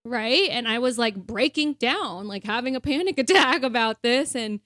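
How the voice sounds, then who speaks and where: A woman's voice: on the high side, animated, normal speed, a bit bright, no echo, studio quality. A young woman co-hosting a casual podcast, rambling with lots of fillers and frequent laughs. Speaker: podcast co-host.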